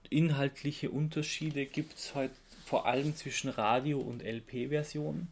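A man with an Austrian accent explaining things in a casual podcast chat as a host, often halting and searching for words. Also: mid-range voice, steady tone, a little hiss or hum.

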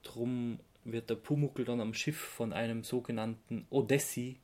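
Halting man, casual podcaster. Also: medium pitch, steady tone, a little mellow. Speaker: podcast co-host. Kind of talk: casual podcast chat, recounting and giving opinions.

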